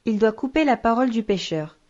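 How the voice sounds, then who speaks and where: A woman's voice: a little high, animated, a little fast, a little mellow, muffled recording, lightly acted. A woman playing a patient, solemn religious teacher in an educational children's cartoon. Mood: patient, instructive.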